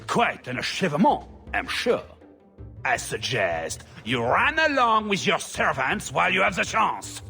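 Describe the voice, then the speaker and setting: A pompous, short-fused nobleman in a video game. His voice is piercingly bright and creaky, with haughty, blustering indignation. Music plays behind him, and he draws his words out slowly. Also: fairly high pitch, huge pitch swings, exaggerated voice. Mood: haughty, outraged. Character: pompous, hot-tempered, insulting Orlesian baron. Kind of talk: video game cutscene acting.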